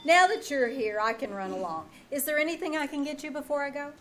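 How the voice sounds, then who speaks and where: A woman playing a wry, quick-witted English teacher in an old-time radio comedy, with dry, sardonic delivery. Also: high voice, lightly acted. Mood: breezy, helpful. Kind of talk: old-time radio comedy acting before a live audience.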